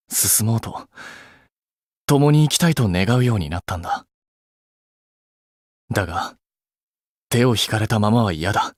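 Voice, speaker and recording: Casting: young male game idol, low husky voice, restrained, earnest, blunt, easily embarrassed. Very dry sound. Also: dry recording. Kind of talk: Japanese mobile game voice acting.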